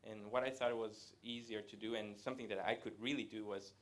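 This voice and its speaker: A man, giving a live presentation to an audience in a conversational way, pausing often to hesitate. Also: lowish voice, fairly even intonation. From Latin America. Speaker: guest speaker. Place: event room with a live audience.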